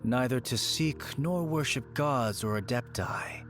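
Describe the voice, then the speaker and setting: A cryptic, serene shrine dweller, acted by a man in a video game. He muses poetically at a slow, measured pace, wistful, with faint music behind. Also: medium pitch, a bit bright, natural voice. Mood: wry.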